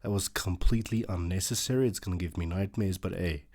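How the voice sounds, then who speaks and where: A man's voice: sits low, fast, darkish tone, slightly rough. A man, an Afrikaans speaker, hosting a casual reaction video and thinking aloud with lots of fillers.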